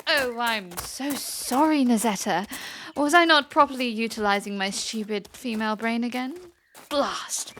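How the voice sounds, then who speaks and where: A woman's voice: a little high, a little slow, a bit bright, slight background noise. A woman playing a taunting, mischievous, spoiled young princess in a fantasy audio drama, theatrical and sarcastic. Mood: mocking, teasing.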